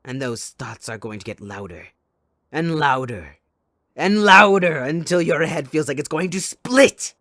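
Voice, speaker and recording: A man playing a gleeful, sadistic supervillain kidnapper in an audio roleplay, with a theatrical, mocking delivery that swings between soft and loud. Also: fairly high pitch, expressive, a little slow, no room sound, clean studio sound. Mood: menacing, intense. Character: sadistic, gleeful male supervillain kidnapper taunting his captive sidekick.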